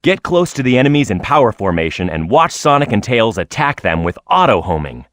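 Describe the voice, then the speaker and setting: A man's voice: lowish voice, sing-song, brisk, a bit bright, no echo. A man voicing a tough, hot-headed echidna brawler in a video game, proud and blunt in manner. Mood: proud, instructive.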